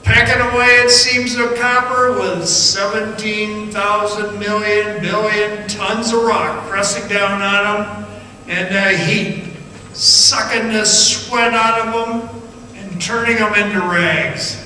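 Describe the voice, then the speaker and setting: A man playing a haunted middle-aged former copper-mine guide, telling a dark tale in a folksy, rambling, halting radio theatre monologue. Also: high-pitched, steady tone, exaggerated voice. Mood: folksy, wry. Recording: roomy echoing hall, distant mic, faint noise.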